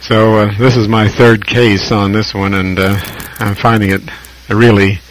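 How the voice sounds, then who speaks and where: An American man with a fairly low voice talks over vintage shortwave radio. He chats casually as an amateur operator, picking his words deliberately and reflectively, with halting phrases and long pauses. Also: flat delivery, poor audio. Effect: shortwave radio transmission. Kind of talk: casual ham radio conversation.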